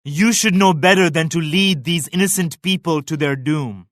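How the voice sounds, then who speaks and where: An actor playing a proud, pious Mughal emperor in a video game, making grave, measured and lofty pronouncements. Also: male voice, on the high side, fairly bright tone, no room sound, studio quality, lightly acted. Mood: grave reproach. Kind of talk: video game character voice lines, formal declamation.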